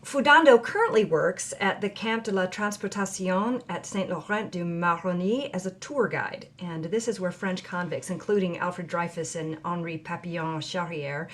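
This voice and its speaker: An actress playing a devoted granddaughter who hosts and narrates a scripted documentary about a film star. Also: female voice, lowish voice, close to an everyday voice. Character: devoted granddaughter investigating a film star's past. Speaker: documentary host.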